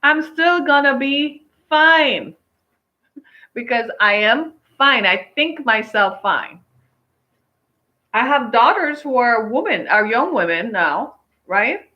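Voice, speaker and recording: A woman's voice: fairly high pitch, lively intonation, slow. Emphatic woman in her fifties, lo-fi. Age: 50s. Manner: emphatic, preachy, repeats phrases for effect. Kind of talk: casual opinion talk to viewers. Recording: dull lo-fi sound.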